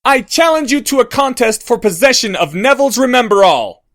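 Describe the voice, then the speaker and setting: A man's voice: a little high, expressive, moderate pace, no room sound, clean studio sound, voice pushed up. A man voicing a clever, earnest schoolboy wizard who raises his voice to take charge. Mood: shouting. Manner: earnest, rising to loud calls.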